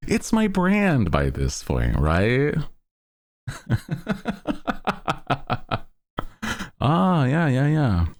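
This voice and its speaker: A man hosting a livestream, chatting casually with viewers in a rambling, jokey, self-deprecating way. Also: on the high side. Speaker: VTuber livestream host. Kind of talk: casual chat with stream viewers.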